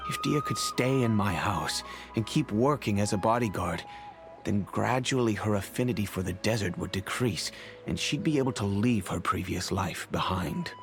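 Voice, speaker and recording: A remorseful, low-voiced middle-aged merchant. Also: male voice, animated. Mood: thoughtful. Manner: measured, reflective, remorseful. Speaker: wealthy patron and father figure. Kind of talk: video game voice acting. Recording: game audio with faint music.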